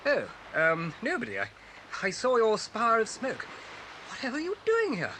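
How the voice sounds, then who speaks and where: An actor playing a nervous, bumbling, easily flustered bird-watcher in a radio play, stammering and hesitant. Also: male voice, high-pitched, wildly swooping pitch, normal speed, bright, forceful tone, heavily acted. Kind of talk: radio-play acting on a story tape. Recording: noisy old cassette transfer.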